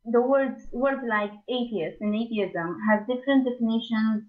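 Hesitant caller on a tinny phone line. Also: female voice, on the high side, moderate pace. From Romania. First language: Romanian. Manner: hesitant, nervous laughs, many fillers.

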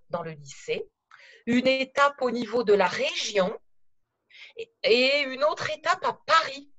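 A middle-aged woman recalling her travels in a slow, careful storytelling manner over a video call from her living room. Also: on the high side, fairly bright tone, dull audio. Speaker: interview guest. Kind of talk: conversational podcast interview.

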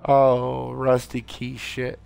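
Tired, gravelly gaming streamer man. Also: mid-range voice, completely flat delivery, takes it slowly, darkish tone. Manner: swears a lot, yelps at the game. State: tired and hoarse. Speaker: let's play streamer. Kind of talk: casual gameplay commentary.

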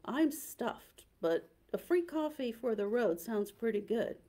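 A woman reading as a soft-spoken kind young woman. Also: fairly high pitch, very animated, a little slow, pitched above the performer's own voice.

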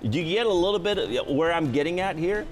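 A man's voice: high voice, quick pace, soft background music. A middle-aged man hosting a studio talk show, speaking conversationally and emphatically, repeating phrases for stress. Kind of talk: conversational late-night interview. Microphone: lapel mic.

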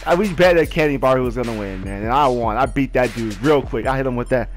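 A young American man casually telling personal stories in a slangy, repetitive, animated way. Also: medium pitch, fast talker. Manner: slangy, repetitive, animated retelling with acted quotes. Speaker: gaming video storyteller. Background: background music with guitar.

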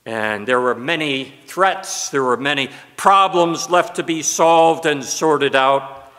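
An older American pastor preaches slowly and deliberately from the pulpit, his voice bright and fairly high for a man. A long church reverb trails after his words. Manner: slow, expressive preaching with broad hand gestures. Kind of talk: sermon. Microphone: pulpit mic.

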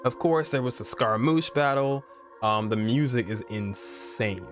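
A man's voice: mid-range voice, a little slow, faint music behind. A man in his twenties with an American accent, giving casual, conversational reaction commentary as a gaming streamer. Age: 20s. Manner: conversational, repeats "you know" and "like". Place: indoor room, streaming setup.

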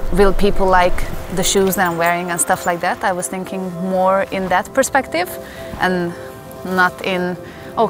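Young woman telling her story. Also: fairly low pitch. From Hungary. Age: young adult. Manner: earnest, emotional, chatty with fillers. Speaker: interviewee. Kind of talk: personal storytelling interview. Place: outdoors in a forest. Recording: clear music bed under the voice. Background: music.

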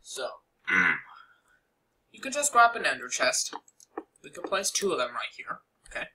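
A man's voice: on the high side, sing-song, a little slow, pushed and bright, rough recording. A young man casually narrating a game-building tutorial over a screen recording, rambling with many fillers and asides.

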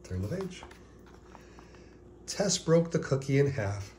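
A man reading a children's picture book aloud as scripted narration, deliberately, with pauses before key words. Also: mid-range voice, sing-song, warm tone, a little hiss or hum.

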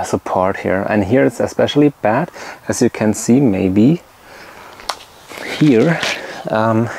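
A man, chatting casually and haltingly as a camping vlogger. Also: on the low side, vocal fry, a little mellow, slight background noise. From Germany. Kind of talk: casual vlog chat. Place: outdoors at a campsite and in an awning tent.

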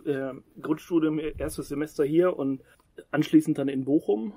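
Husky gravelly man, dry close sound. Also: a little high, fairly even intonation, moderate pace, warm tone, no room sound. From Ruhr area, Germany. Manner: easygoing, brief replies. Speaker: podcast host. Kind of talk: casual conversation.

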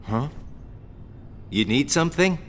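A man's voice: fairly high pitch, animated, slow, fairly bright tone, a little hiss or hum. A man voicing a laid-back, wry young fighter in a game cutscene, with an offhand drawl. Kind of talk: video game cutscene acting.

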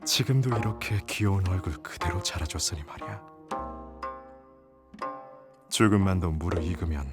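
A man playing an amused, indulgent Joseon nobleman in a historical roleplay ASMR, with archaic, gently commanding, teasing speech. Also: low-pitched, normal speed, long pauses, close to an everyday voice. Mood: fond, teasing. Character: wealthy Joseon nobleman, amused, indulgent patron of a young gisaeng. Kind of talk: Korean historical roleplay ASMR audio drama. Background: traditional Korean court music.

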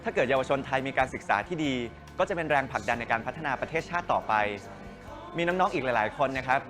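A man's voice: medium pitch, expressive, fast talker, over music. A man speaking in a charity appeal style.

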